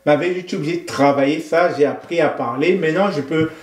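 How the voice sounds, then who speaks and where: A man from Cameroon, a guest in an interview, speaking in a thoughtful, measured way with frequent hesitations. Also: fairly high pitch, reverberant. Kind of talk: conversational interview.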